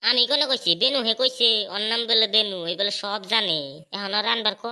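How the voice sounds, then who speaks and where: A woman's voice: fairly high pitch, fairly quick, bright, forceful tone, slight background noise. A woman voicing a cheeky, scheming truant schoolboy in a comedy cartoon, boastful and teasing, with a rural Bangladeshi Bengali accent. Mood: needling.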